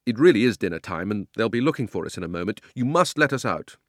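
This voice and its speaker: A man plays a wary schoolboy in a children's storybook. He is blunt and urgent, pressing his point in a lively, dry-recorded voice. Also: lowish voice, quick pace, no echo. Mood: insistent. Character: urgent, wary, plain-spoken schoolboy nephew. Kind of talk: dramatic audiobook character reading.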